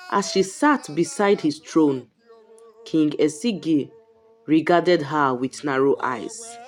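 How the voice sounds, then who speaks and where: Slow, low-voiced woman telling a dramatic folktale. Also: darkish tone. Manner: deliberate, suspenseful phrasing with short pauses. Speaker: storyteller. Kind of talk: dramatic scripted folktale narration.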